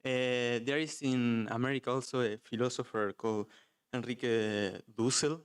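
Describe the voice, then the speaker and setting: Argentine man, nervous, hesitant, smooth clear voice, audience question. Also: mid-range voice, steady tone, moderate pace. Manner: hesitant, many "uh"s. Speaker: audience member asking a question.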